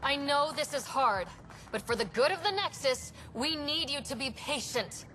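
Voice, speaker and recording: An actress playing a young woman explorer leader in a video game, earnest, steady and diplomatic in a measured way. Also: high-pitched, slight background noise. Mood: earnest, firm. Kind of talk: video game cutscene acting.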